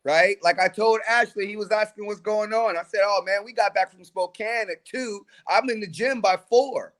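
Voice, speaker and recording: High-voiced, emphatic man in his forties. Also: normal speed, fairly bright tone. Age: 40s. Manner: emphatic, motivational, preachy. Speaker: track coach and trainer. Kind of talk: casual podcast conversation.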